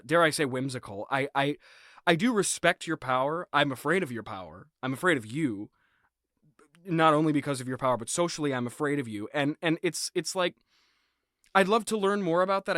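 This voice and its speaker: A man's voice: mid-range voice, a bit bright, dry recording, clean studio sound, lightly acted. A man playing a flustered, awkward restaurant boss in a comedy sketch, stammering, hedging and repeating himself. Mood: flattering, nervous. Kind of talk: improvised comedy sketch acting.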